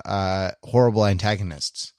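A man's voice: lowish voice, fairly even intonation, moderate pace, darkish tone, clear, resonant tone. A man co-hosting a casual chat, speaking hesitantly as he searches for words. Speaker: podcast co-host.

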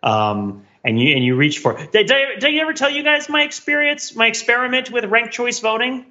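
A middle-aged man with a forceful, bright voice co-hosts an intellectual podcast. He talks discursively and wryly, reaching for academic words in casual discussion. The recording is a little dull and lo-fi. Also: extremely high pitch, very animated, a little fast. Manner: discursive, wry, academic vocabulary. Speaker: podcast host.

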